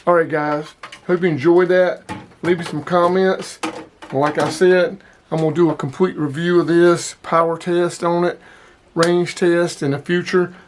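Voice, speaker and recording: A man with a voice pitched a little above average talks through an unboxing. He is informal and thinks aloud as he handles things, like a casual vlogger. There is a light layer of noise behind him. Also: little pitch movement, a little slow. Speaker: vlogger unboxing radio gear. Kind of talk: casual hands-on unboxing commentary.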